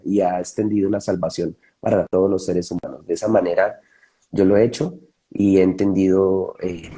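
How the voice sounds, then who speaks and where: A man's voice: on the low side, warm tone. A man from Colombia with a Colombian accent, a pastor speaking thoughtfully in a panel discussion and sharing his testimony.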